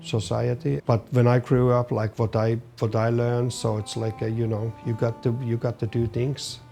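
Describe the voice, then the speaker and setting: A middle-aged man from Finland with a Finnish accent, a chef answering interview questions in halting English, searching for words as he goes. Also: medium pitch, level tone, creaky, warm tone, faint music behind. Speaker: chef and business owner.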